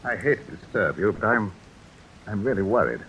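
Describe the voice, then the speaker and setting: A solicitous, nervous doctor in old radio suspense style. His voice sits on the low side and he speaks with worried hesitation. The recording is muffled, with faint noise. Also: expressive, moderate pace, fairly bright tone. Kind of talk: 1940s radio suspense acting. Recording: dull, slightly noisy vintage radio recording.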